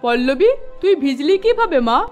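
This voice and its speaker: A middle-aged cartoon mother-in-law with a high voice. She is bossy and scolding, nagging in a commanding way. Soft music plays underneath. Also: very animated, moderate pace. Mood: scolding, fussing. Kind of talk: cartoon voice acting.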